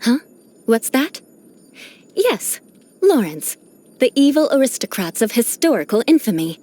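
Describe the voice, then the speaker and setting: A woman's voice: fairly high pitch, big pitch swings, unhurried, dark tone. A woman playing a proud, vengeful yet caring young aristocrat knight in a video game, speaking in a haughty, formal, articulate manner. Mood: haughty, teasing. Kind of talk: video game character voice acting.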